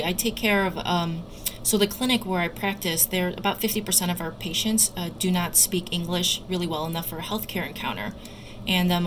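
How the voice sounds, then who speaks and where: A woman doctor and researcher giving careful, somewhat halting explanatory answers as an interview guest. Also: on the low side. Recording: loud background noise.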